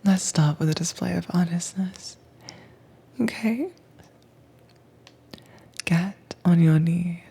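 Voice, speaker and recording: A woman acts out a teasingly dominant tamer of captive werewolves in an intimate ASMR roleplay. Her voice is a very breathy, low whisper with a soft, mellow tone. She speaks slowly, coaxing and commanding with calm control. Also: heavily acted. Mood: gently commanding.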